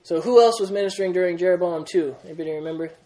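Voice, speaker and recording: A young man teaches a Bible class with energy. His voice sits a little high for a man. He quizzes the group as he goes and restarts his sentences often. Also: very fast, dark tone, poor audio. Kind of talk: classroom Bible lesson with questions.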